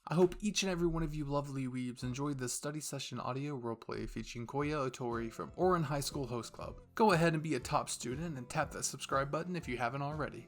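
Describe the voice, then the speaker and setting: A man hosting a channel, giving a playful, chatty outro addressed directly to his viewers. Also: medium pitch. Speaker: channel host.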